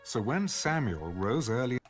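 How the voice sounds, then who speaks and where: Expressive male audio Bible narrator over music. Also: mid-range voice. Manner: measured, expressive storytelling. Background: music bed.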